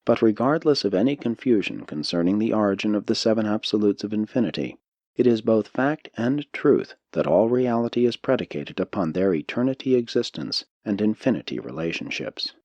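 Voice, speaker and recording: A man reading a spiritual text as an audiobook narrator, in measured, deliberate phrasing. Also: fairly low pitch, lively intonation, a little mellow, no echo, dull audio.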